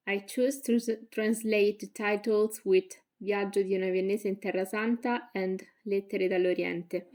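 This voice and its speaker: A young woman with an Italian accent reads her prepared thesis presentation in English. Her delivery is flat and even, careful and measured, with the odd slip as she corrects herself. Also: mid-range voice. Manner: careful, measured reading with slips. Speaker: graduate student.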